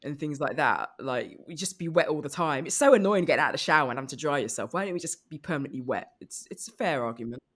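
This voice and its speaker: A woman riffing casually as a comedy podcast guest, thinking aloud in a halting way. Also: deep voice, lively intonation, a bit bright.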